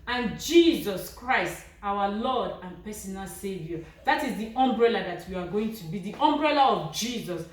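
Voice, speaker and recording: A woman evangelist preaching in a pleading, imploring way, begging emphatically and repeating her appeals. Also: mid-range voice. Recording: very echoey room, distant from mic.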